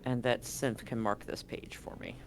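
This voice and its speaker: A man's voice: medium pitch, little pitch movement, moderate pace, gravelly, background noise. A man narrating as game master in a tabletop game, loose and casual with many fillers and restarts.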